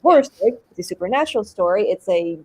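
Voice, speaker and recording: A woman's voice: medium pitch, lively intonation, fast, warm tone, slightly rough. A woman chatting casually as an actress in a live interview, informal and emphatic.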